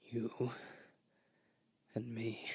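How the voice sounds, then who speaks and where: Man playing a husky, scheming soldier survivor. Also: medium pitch, monotone, extremely slow, no echo, studio quality, exaggerated voice. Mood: fanatical, exultant. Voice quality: husky, gravelly. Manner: intense scheming, folksy slang, swelling from hushed to loud. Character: fierce power-hungry artilleryman survivor plotting to rule the world. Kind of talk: radio play acting.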